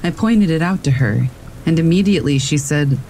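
A man calmly reading scary stories aloud as a narrator, in a steady storytelling manner. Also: on the high side, moderate pace, a little hiss or hum, low-quality recording. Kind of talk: scripted narration of reader submissions. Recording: faint rain in the background. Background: rain.

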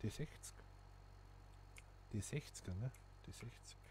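A man's voice: mid-range voice, unhurried, warm tone, slight background noise. A man, chatting casually to viewers and thinking aloud as he plays a simulation game. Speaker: gaming channel host. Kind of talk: casual gameplay commentary.

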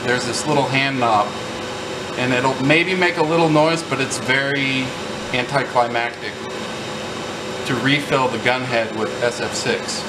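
A man's voice: mid-range voice, fairly even intonation, a little slow. A man in his thirties gives a casual, informal hands-on technical demonstration, explaining each step like a technician. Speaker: technician demonstrating equipment maintenance. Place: indoor lab among large machines. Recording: roomy, distant mic, loud machine hum. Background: air conditioning and machinery noise.

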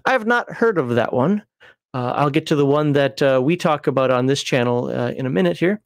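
A man's voice: medium pitch, animated, fairly quick, gravelly, dry recording, clean studio sound. A middle-aged man hosting a casual livestream from home over a video call, reading chat messages and laughing often. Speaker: livestream host.

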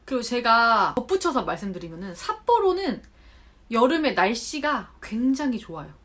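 A Korean woman in her thirties talking casually to camera, chatty and animated, acting out remarks she quotes. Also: fairly high pitch, moderate pace. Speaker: vlogger. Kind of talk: unscripted talk to camera. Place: home in Japan.

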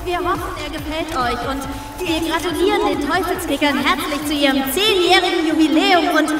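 A woman's voice: high-pitched, fairly quick, lightly acted. A woman playing a young band singer making a congratulatory stage announcement in an audio play. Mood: celebratory. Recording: echoey, distant, over music and crowd.

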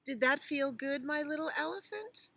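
A woman voicing a gentle mother elephant, patiently teaching her little one in a children's storybook. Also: high-pitched, lively intonation, normal speed, no echo, voice pushed up. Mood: patient, instructive. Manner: patient, instructive.

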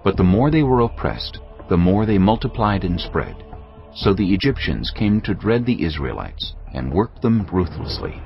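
A deep-voiced man reads scripture in a measured, solemn delivery. His very low voice moves widely in pitch. Soft music plays under a slightly dull recording. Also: very animated, gravelly. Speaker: narrator. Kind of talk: scripted Bible narration.

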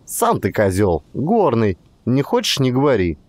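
A man voicing a playful, tender young woman with expressive, emotional delivery in an audiobook. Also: mid-range voice, normal speed, no room sound. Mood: teasing. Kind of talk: audiobook character dialogue.

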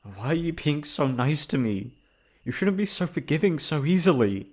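A man playing a remorseful, self-loathing young prince in a formal, halting audio roleplay monologue. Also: fairly high pitch, very animated, a little mellow, breathy edge, pitched above the performer's own voice. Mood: anguished, pleading. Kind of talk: dramatic ASMR roleplay monologue.

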